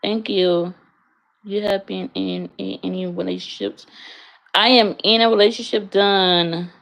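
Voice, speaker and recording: Young woman streamer, casual and blunt, with a gravelly edge. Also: medium pitch, steady tone, unhurried, fairly bright tone, rough recording. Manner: blunt, frank, swears freely. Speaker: livestreamer answering chat. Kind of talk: casual livestream chat. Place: her bedroom, in bed.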